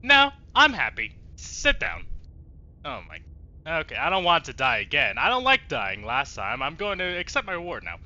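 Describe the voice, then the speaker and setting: A man streaming a video game with casual, jokey, profanity-laced commentary and lively reactions. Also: fairly high pitch, moderate pace, no echo, muffled recording. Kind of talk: casual let's play commentary.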